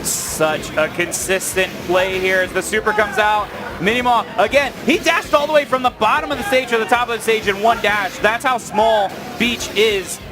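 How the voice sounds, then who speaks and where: Male esports caster, very high register, exclamatory and hyped, calling matches live over clear music and crowd noise. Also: normal speed. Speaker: esports commentator. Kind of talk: excited live play-by-play commentary. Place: live tournament venue. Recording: loud event hall, crowd and music. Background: cheering crowd and music.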